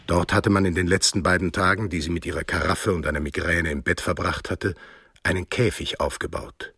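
A man's voice: sits low, steady tone, fairly quick, sharp tone, slightly rough. A man narrating a scripted radio drama as a storyteller.

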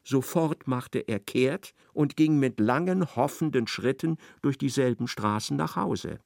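Ein Mann als Erzähler eines literarischen Hörspiels. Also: male voice, medium pitch, measured pace, creaky, a little mellow, dry recording, studio quality. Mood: measured narration. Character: expressive male narrator of a literary radio play. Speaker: narrator. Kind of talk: literary radio play narration.

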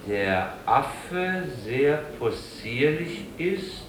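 Casting: ponderous learned doctor, very drawn-out pedantic delivery, roomy vintage radio drama. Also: male voice, mid-range voice, very animated. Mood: pedantic, solemn. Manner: ponderous, pedantic reading aloud. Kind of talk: 1950s German radio play acting. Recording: roomy old radio crowd scene.

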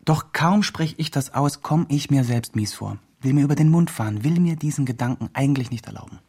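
An actor playing a well-meaning, self-justifying helper who gives careful, reflective reports in a radio play monologue. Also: male voice, medium pitch, brisk, mellow, slightly rough. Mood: doubtful.

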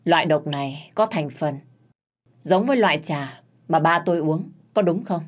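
A woman's voice: low-pitched, normal speed. A woman reading a composed young master. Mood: level questioning.

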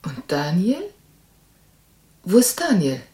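Eine Schauspielerin als besorgte Anstaltsbesucherin in einem Hörspiel, die ausdrucksvoll und fragend spricht. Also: female voice, on the low side, takes it slowly, exaggerated voice. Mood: curious, concerned. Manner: questioning, expressive. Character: concerned woman visiting an asylum for Daniel. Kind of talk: German radio drama acting.

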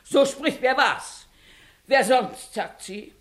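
Indignant, slow-declaiming village mother. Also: sits high, lively intonation. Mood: accusing, dramatic. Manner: declamatory, dramatic, emphatic storytelling. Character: indignant, accusing mother, a scolding village plaintiff. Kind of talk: classic German stage comedy radio acting.

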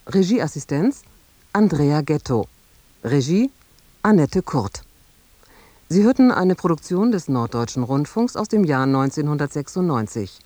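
A woman's voice: sits low, very animated, unhurried, warm tone, dry recording. A woman radio announcer reading out scripted credits.

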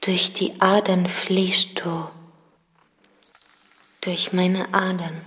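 An actress playing a lost soul in a radio drama, a tender, yearning female spirit with slow, breathy, partly whispered poetic lines. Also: lowish voice, little pitch movement, a bit bright. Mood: yearning, ethereal. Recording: long cavernous reverb.

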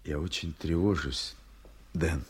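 An actor playing an anxious, conscientious lawyer full of doubts, earnest and troubled, in a radio play. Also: male voice, very deep voice, fairly even intonation, takes it slowly, heavy background noise. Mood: worried. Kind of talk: Soviet-style radio play acting.

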